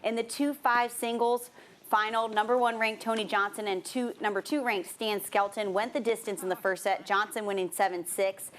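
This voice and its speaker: A woman's voice: mid-range voice, fairly even intonation, moderate pace. A woman working as a sports anchor, reading scores and match recaps in a broadcast news style. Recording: faint background noise.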